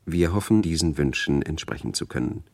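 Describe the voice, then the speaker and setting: A man delivers a formal, old-fashioned radio announcement. The voice is very deep and the sound is dry. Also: lively intonation, a little fast, vocal fry, a little mellow, no echo.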